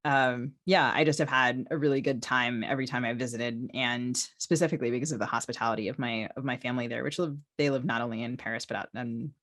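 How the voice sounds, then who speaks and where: A woman's voice: extremely low pitch, fairly even intonation, fast talker, few pauses, fairly bright tone, no echo, studio quality. A woman co-hosting a podcast in a conversational way, with a wry, teasing manner. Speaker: podcast co-host.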